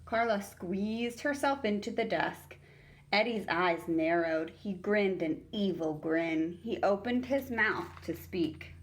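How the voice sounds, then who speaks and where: Slow, very animated woman storyteller. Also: medium pitch. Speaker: teacher reading aloud to children. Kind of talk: expressive children's story narration.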